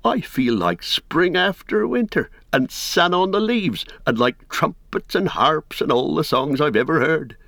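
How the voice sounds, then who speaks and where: A man's voice: sits low, sing-song, unhurried, a bit bright, dry recording, clean studio sound, full character voice. A man voicing a loyal young male hobbit servant, eager and overwhelmed with joy. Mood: elated.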